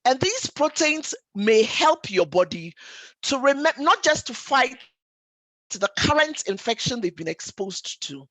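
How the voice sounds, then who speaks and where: A woman's voice: sits high, sing-song, measured pace, fairly bright tone, no room sound, rough recording. A woman doctor presenting a health webinar, explaining things for lay listeners in an emphatic, didactic way, repeating key points and asking rhetorical questions. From Ghana or the Caribbean.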